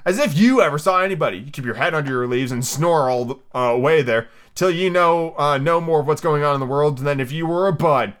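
A man's voice: fairly high pitch, expressive, moderate pace, fairly bright tone. Man acting out a bossy, snappish talking flower. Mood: indignant. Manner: emphatic, snappish. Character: bossy, haughty, scornful talking tiger lily flower.

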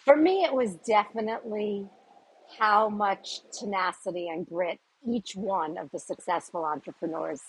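A woman co-hosting a podcast, a recruiter, talking in a lively, upbeat way. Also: mid-range voice, husky, no echo, rough recording. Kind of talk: casual podcast chat.

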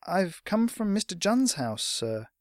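A man's voice: a little high, animated, moderate pace, no echo, clean studio sound, voice pushed up, lightly acted. A man voicing a young boy in a storybook, earnest, polite, hesitant and naive.

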